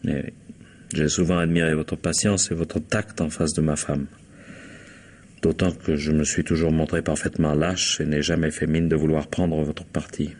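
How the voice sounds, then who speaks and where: Casting: deep, husky gentleman employer, formal, measured, quietly calculating, 1950s radio-play style. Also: level tone. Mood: confiding, resolute. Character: courteous, quietly scheming bourgeois husband and employer. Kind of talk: 1950s French radio drama acting.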